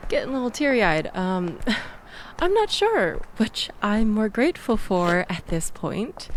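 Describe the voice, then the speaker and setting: Woman acting a slow-talking, chatty young woman. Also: mid-range voice, a little hiss or hum. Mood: grateful, vulnerable. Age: young adult. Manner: chatty, sardonic, conversational with nervous laughs. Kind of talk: intimate audio roleplay acting, addressed to listener.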